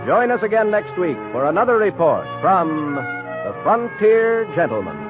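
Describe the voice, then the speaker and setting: An American man announcing a scripted radio drama in formal, dramatic, deliberate 1950s style. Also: high voice, very animated. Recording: narrow-band old radio broadcast. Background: music.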